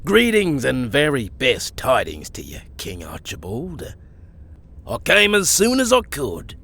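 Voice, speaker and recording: A man playing an uncouth, jovial baron in a fantasy audio drama, with a rough, gravelly voice and a folksy, overfamiliar manner. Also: medium pitch, wildly swooping pitch, a little slow, a bit bright, heavily acted. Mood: eager, deferential.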